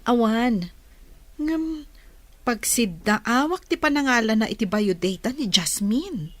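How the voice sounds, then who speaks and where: A woman's voice: fairly high pitch, lively intonation, takes it slowly, no room sound. An actress with an Ilocano accent playing a suspicious, scheming woman in a radio drama. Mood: suspicious, brooding.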